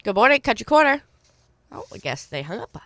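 A woman's voice: on the high side, very animated, a bit bright, no room sound. Stuffy-voiced woman radio host, dry sound. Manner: repeats phone numbers slowly and clearly. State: stuffy from a cold. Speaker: radio swap-show host. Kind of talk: call-in radio hosting, reading listings.

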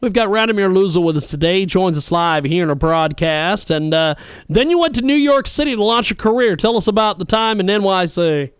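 A man's voice: high-pitched, lively intonation, a little fast, smooth, no room sound, clean studio sound. A man hosting a radio broadcast, introducing his guest in an upbeat, promotional way. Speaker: radio host.

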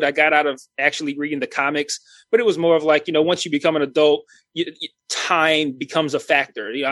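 A man's voice: fairly high pitch, fairly bright tone, no room sound. A man in his thirties chatting casually as a podcast co-host, rambling with many restarts and fillers. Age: 30s.

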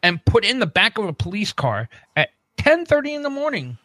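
A man co-hosting a casual comedy show, haltingly reading out the news and repeating himself. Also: high-pitched, huge pitch swings, bright, forceful tone, dry recording.

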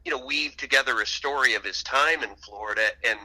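A man's voice: mid-range voice, a little fast, pushed and bright, rough recording. A man speaking as an interview guest and author, in a conversational storytelling style.

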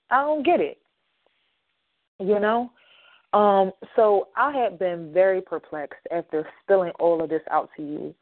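A woman hosting a podcast who talks straight to her listeners in a loose, rambling way, full of fillers and sudden rises in pitch. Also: no echo. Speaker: podcast host. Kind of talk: casual monologue to listeners. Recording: tinny dry phone-like line.

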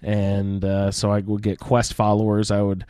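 A man hosting a casual gaming roundtable podcast, speaking in halting phrases with searching pauses. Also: on the low side, completely flat delivery, dark tone, clear, resonant tone, no echo, clean studio sound. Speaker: podcast host. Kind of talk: casual roundtable chat about a video game.

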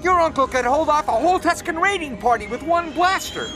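A man's voice: extremely high pitch, animated, brisk. An actor in a radio drama playing an earnest, bold young man urging his friend on. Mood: exasperated.

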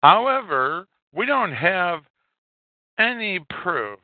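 Slow, halting man on a phone-like line. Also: sits high, sing-song, no echo. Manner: halting, hesitant delivery with pauses. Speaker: podcast host. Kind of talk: casual news commentary. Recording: narrow phone-like audio, dry.

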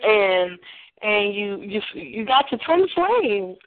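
A woman's voice: mid-range voice, big pitch swings, slow pace, dry recording. Giggly, effusive female host, heard over a tinny, dry phone line. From Nashville, Tennessee. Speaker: radio talk show host. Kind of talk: casual chat.

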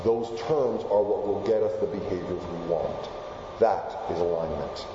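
A man's voice: low voice, moderate pace, noisy background. Man in an echoey hall. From Argentina. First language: Spanish. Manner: animated, asks the audience questions. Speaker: keynote speaker. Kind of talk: lively presentation to a live audience. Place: large hall. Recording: echoey hall, dull sound.

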